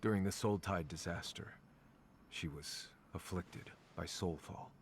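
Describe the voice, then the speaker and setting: A mournful ferryman of souls from a video game. His voice is low and gravelly, and he speaks with grave, measured restraint. There is faint noise behind him. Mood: somber, reflective.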